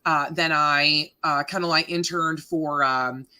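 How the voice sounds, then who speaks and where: A woman in her thirties from Canada with a deep voice, a radio morning host chatting casually in an interview, laughing often and filling with ums. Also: steady tone, takes it slowly, sharp tone. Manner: chatty, laughing, self-deprecating, many fillers.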